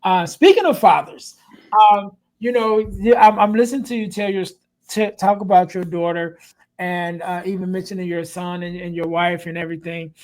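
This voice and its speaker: A man's voice: high voice, fairly even intonation, normal speed, darkish tone, low-quality recording. A male podcast host asks a guest about his upbringing in a hesitant, rambling way. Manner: hesitant, full of fillers and restarts while asking. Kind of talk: interview questions.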